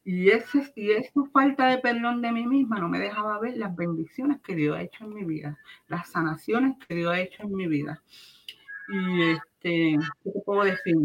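A woman's voice: medium pitch, slightly rough, poor audio. A middle-aged woman with a Puerto Rican accent gives a personal religious testimony, speaking earnestly and directly to listeners with emphatic repetition. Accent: Puerto Rican Spanish. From Puerto Rico.

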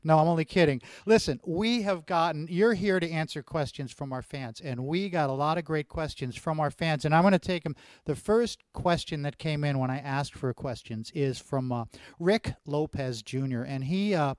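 A man hosting a video call who reads out fan questions, loud and excitable, with many stumbling restarts. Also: a little high, normal speed, hardly any pauses, clean tone, no room sound. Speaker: host reading fan questions. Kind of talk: casual video-call chat.

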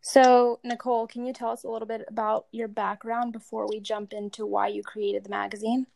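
A woman co-hosting a podcast asks a guest polite, leading questions. Her voice is slightly high but stays fairly flat in its melody, steady and even. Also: brisk, gravelly. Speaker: podcast co-host, photographer. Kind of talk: interviewing a guest.

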